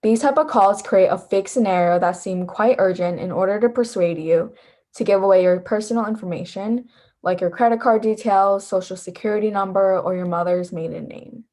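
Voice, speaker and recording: A woman's voice: mid-range voice, brisk, a little mellow. A teenage young woman presenting a scripted lesson as an instructor. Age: teens. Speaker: volunteer instructor.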